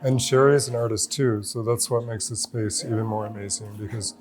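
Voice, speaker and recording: A man's voice: lowish voice, steady tone, fairly quick, a crowd in the background. A man chatting casually as a guest in an interview. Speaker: artist and painting teacher, interview guest. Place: art gallery reception. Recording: music behind the voice.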